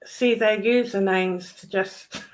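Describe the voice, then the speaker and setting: A woman's voice: mid-range voice, fairly even intonation, extremely slow. A British woman in casual conversation, speaking bluntly and dismissively in colloquial language. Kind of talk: casual chat.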